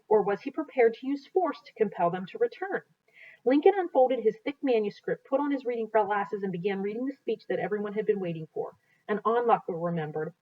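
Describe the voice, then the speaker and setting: Casting: American woman, teacher type, mid-pitched, explanatory history read-aloud, slightly muffled lo-fi sound. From United States. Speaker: teacher reading aloud to students. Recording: dull, lo-fi sound.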